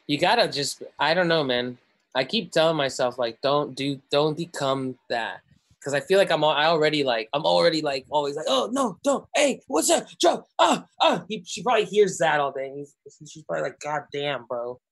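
A man's voice: a little high, a little fast. A young man, a native Spanish speaker, chatting casually as a podcast co-host on a headset video call from a room at home, acting out family voices. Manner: animated, acts out family voices.

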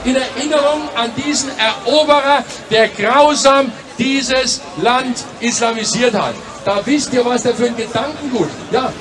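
A man in his fifties speaking forcefully into a handheld microphone at an outdoor rally, prompting listeners with frequent tag questions. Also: extremely high pitch, a little slow, hardly any pauses. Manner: forceful, repeating "ja?", inviting and prompting. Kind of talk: political street rally speech. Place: outdoor city square rally. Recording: distant voice with crowd noise.

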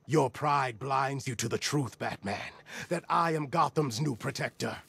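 Very raspy fanatical avenger. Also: male voice, mid-range voice, measured pace, fairly bright tone, full character voice. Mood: menacing zeal. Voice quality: raspy, gravelly. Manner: solemn, menacing. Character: fanatical, self-appointed holy male avenger. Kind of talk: video game voice acting.

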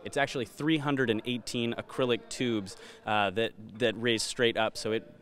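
A man's voice: medium pitch, steady tone, moderate pace, fairly bright tone. A man from the arts center staff explaining the building during a guided tour, with frequent fillers as he talks in a large glass-walled lobby. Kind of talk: informative guided tour talk.

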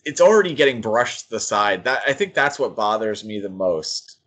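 A man co-hosting a podcast, giving casual critical commentary in a halting, thinking-aloud way. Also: lowish voice, expressive, fairly bright tone, breathy edge. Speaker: podcast co-host. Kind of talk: casual critical comics discussion.

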